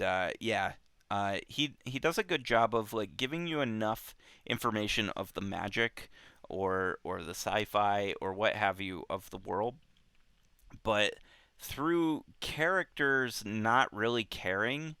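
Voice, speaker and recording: Slow-talking man with a low, clear voice. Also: pushed and bright, no echo, studio quality. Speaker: book club show host. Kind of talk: casual book discussion.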